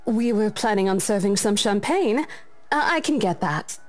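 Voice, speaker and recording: Actress as deferential, obliging female estate agent for a visual novel; mid-range voice, soft music beneath. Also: mid-range voice, expressive, moderate pace, natural voice. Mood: polite, accommodating. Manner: apologetic, courteous. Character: polite, accommodating female real estate agent. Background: faint music.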